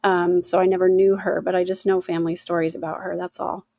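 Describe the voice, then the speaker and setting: A woman's voice: fairly low pitch, flat delivery, a little fast, darkish tone, dry recording, clean studio sound. A woman in her fifties hosting a casual livestream, rambling between topics and reading chat messages aloud with lots of fillers. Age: 50s. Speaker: livestream host.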